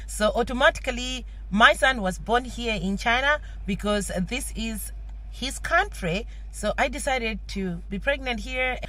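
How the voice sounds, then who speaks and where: A woman, a mother, talking thoughtfully to the camera, pausing and restarting as she goes. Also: on the high side, a bit bright. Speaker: parent interviewee. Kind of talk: casual talk to camera.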